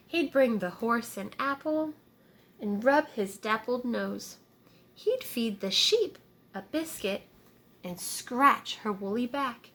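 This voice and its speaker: Bright-voiced woman reading slowly to children. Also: mid-range voice. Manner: deliberate, child-directed, explains words and asks questions. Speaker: teacher reading a picture book aloud to young children. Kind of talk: storybook read-aloud with simple asides and questions to children.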